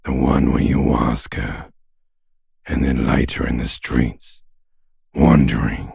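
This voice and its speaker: An ancient, monstrous reptilian voice from inside the mind, extremely low and thick with gravel. It growls hoarsely and very slowly, taunting and menacing in dramatic video game style. The sound is close and dry. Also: male voice, steady tone, darkish tone, dry recording, exaggerated voice. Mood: taunting, bleak. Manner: slow, drawn-out, taunting delivery. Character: ancient reptilian brain, menacing mocking inner voice.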